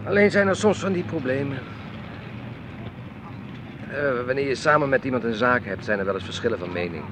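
An actor playing a grumbling, old-fashioned middle-aged husband in a radio drama, with a complaining, sardonic, dismissive manner. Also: male voice, medium pitch, expressive, fairly quick, background noise, lightly acted. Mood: dismissive, resigned.

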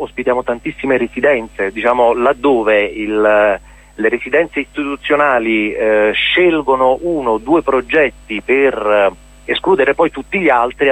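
A man's voice: medium pitch, fairly bright tone. A man explaining hesitantly in a radio interview, repeating words as he searches for the next. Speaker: interviewee, member of a cultural centre.

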